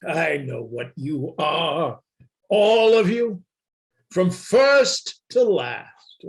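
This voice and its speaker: Man as bitter anarchist poet, high-pitched, slow delivery, scornful, declamatory, radio drama. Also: lively intonation. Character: bitter red-haired anarchist poet, accusing and destructive. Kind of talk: dramatic acting in an amateur radio play reading. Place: remote reading over a video call.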